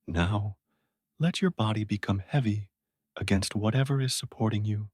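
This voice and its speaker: A man narrating sleep meditation stories in a gentle, calming, measured way, like a guide at bedtime. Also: on the low side, slightly rough, no echo, studio quality.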